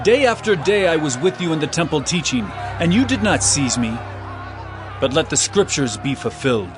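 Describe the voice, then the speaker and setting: Solemn commanding teacher, raised pitch, emphatic declamation, audio Bible drama, music behind. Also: male voice, big pitch swings, moderate pace, crowd noise. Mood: indignant, commanding. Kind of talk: dramatized scripture acting.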